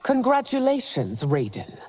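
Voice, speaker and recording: A woman's voice: a little high, wildly swooping pitch, unhurried, a little mellow, exaggerated voice. A woman playing a stern, regal middle-aged empress in a video game, speaking formally and imperiously. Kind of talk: video game cutscene acting. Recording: music behind the voice.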